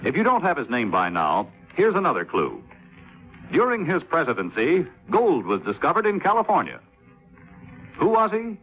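A man's voice: fairly high pitch, huge pitch swings, moderate pace, faint music behind. A man announcing in a formal, presentational, scripted 1950s radio style. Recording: muffled old radio recording.

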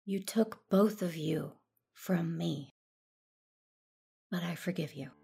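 A woman's voice: fairly low pitch, slow, vocal fry, warm tone, exaggerated voice. A woman playing a stern, wounded Jedi master in a science fiction audio drama, grave and guarded. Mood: hurt, solemn.

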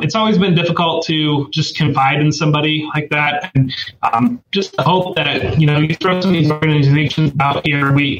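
A man from Northwest Ohio, a guest in a casual conversation, talking earnestly and reflectively with halting fillers over a video call. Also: fairly high pitch, a bit bright. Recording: echoey, muffled remote audio with faint noise.

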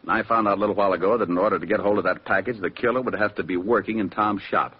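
Low-voiced wisecracking radio private eye. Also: male voice, racing, breathy edge. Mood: wry, smug. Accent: American. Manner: wry, deadpan. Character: wisecracking tough private detective, ex-cop. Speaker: lead actor and narrator. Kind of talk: 1940s radio detective acting, first-person narration. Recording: dull vintage radio recording, music underneath.

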